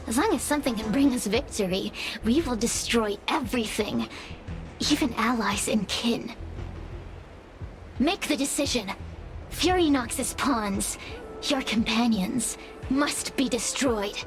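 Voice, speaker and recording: A ruthless, calculating spirit from a video game. She speaks in a slightly high voice, cold and measured, insinuating every line over background music. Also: expressive. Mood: cold, persuasive.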